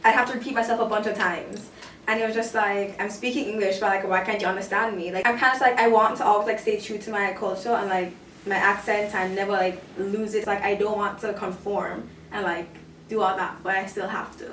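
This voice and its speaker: A woman's voice: mid-range voice, fairly even intonation, a little fast, a bit bright. A twenty-year-old woman from Jamaica with a Jamaican accent mixed with Canadian, chatting casually and animatedly. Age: 20s. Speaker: guest in a group discussion. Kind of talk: casual chat. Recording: roomy, from a distance, faint noise.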